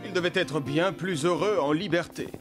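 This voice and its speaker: A man voicing a restless young ranch horse in an animated series, earnest and expressive, full of longing for freedom. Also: a little high, moderate pace, over music, lightly acted. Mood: wistful, complaining. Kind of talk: animated series voice acting, French dub.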